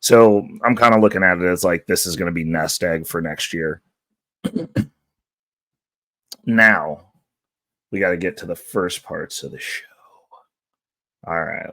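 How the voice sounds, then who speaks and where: A man hosting a casual vlog, hoarse from losing his voice, talking in an upbeat, loose, rambling way. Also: low-pitched, a bit bright, no echo. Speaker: channel host. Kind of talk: casual market-update vlog.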